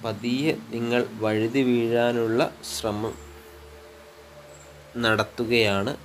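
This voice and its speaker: A man with a Malayali accent guiding sleep hypnosis meditation, soothing and unhurried, pausing between short phrases over relaxing music. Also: mid-range voice, little pitch movement, slight background noise. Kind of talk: guided sleep hypnosis narration. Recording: relaxing music with singing bowls behind.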